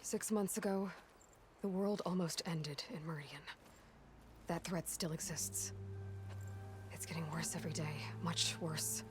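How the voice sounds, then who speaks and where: An actress playing a determined, blunt young heroine in a video game, plain-spoken and resolute in a naturalistic style. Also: female voice, lowish voice, steady tone, a little slow, pauses often, over music, natural voice. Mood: grave, resolute.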